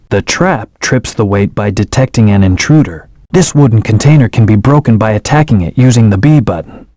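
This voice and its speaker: An actor voicing an aloof male hedgehog hero in a video game, giving calm, terse commands and hints. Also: on the low side, no echo, studio quality, natural voice. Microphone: studio microphone.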